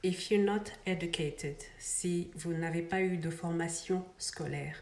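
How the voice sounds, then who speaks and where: A woman's voice: on the low side, unhurried, darkish tone, reverberant. A woman whose first language is Swahili, hosting an earnest inspirational vlog and switching between English and French. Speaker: vlog host. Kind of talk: inspirational bilingual monologue.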